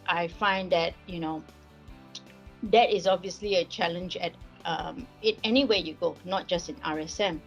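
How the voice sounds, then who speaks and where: Woman, Singaporean accent, friendly, conversational, slightly dull recording, faint background music. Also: medium pitch. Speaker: risk advisory partner. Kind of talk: casual workplace interview.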